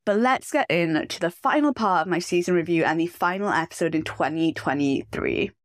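A woman hosting a solo sports podcast, enthusiastic and emphatic, her voice slightly rough from a cough and cold. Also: lowish voice, animated, a little fast, dry recording, studio quality. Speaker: podcast host.

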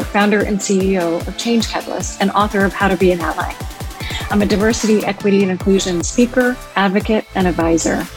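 A woman hosting a podcast interview, affirming and encouraging toward her guests. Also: medium pitch. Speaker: podcast host.

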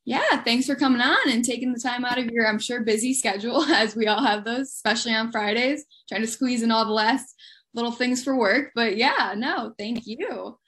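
A woman's voice: fairly high pitch, moderate pace, a bit bright. A woman hosting a podcast, chatty and rambling, with friendly asides as she asks questions. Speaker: podcast host. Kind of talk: casual interview conversation.

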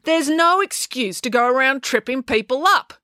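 A woman's voice: high voice, lively intonation, moderate pace, bright, forceful tone, no room sound, clean studio sound, pitched above the performer's own voice. A woman voicing a hot-tempered schoolgirl who talks back sarcastically. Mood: combative. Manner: sarcastic, combative.

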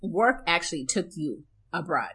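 A playful woman co-host with a somewhat husky, lowish voice chats easily and asks questions. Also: big pitch swings, normal speed, bright, forceful tone. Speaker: podcast co-host. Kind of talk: casual interview chat.

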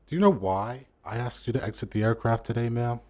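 An actor playing a stern federal drug agent in an audio drama, clipped and insistent with formally polite commands. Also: male voice, mid-range voice, a little fast, a little mellow, no room sound, natural voice. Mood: cool, probing.

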